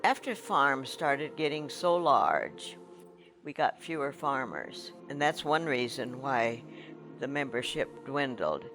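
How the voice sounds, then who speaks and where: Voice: mid-range voice, big pitch swings, slow pace. Man speaking reflectively in an interview. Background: music.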